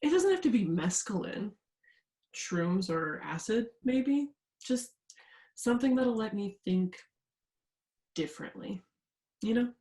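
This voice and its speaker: A woman's voice: medium pitch, big pitch swings, slow pace, pauses often, mellow. A young woman playing an insistent, haunted student searching for the truth in a staged reading, earnest and full of pressing questions. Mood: hopeful, restless. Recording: roomy, echoing sound.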